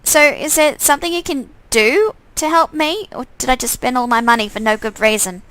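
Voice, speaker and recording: Woman playing a high-voiced, wry young heroine. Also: moderate pace, fairly bright tone, no echo. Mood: weary, worried.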